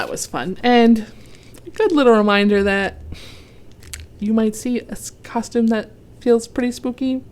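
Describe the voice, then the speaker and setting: Young woman, storytime reader, gentle, quizzes kids, mid pitch. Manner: gentle, asks young listeners questions. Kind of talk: read-aloud storytime for kids.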